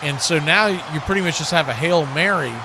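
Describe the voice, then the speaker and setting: A man's voice: a little high, brisk. A man doing live play-by-play football commentary in a conversational way, mixing calls with his own opinions. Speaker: play-by-play announcer for a fan watch-party stream. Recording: clearly noisy live stream audio.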